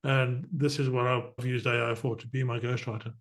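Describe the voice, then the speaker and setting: A middle-aged man from southern Africa who hosts a podcast, explaining things with energy and quick asides. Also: mid-range voice, flat delivery, fairly bright tone. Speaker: podcast host. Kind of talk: conversational interview.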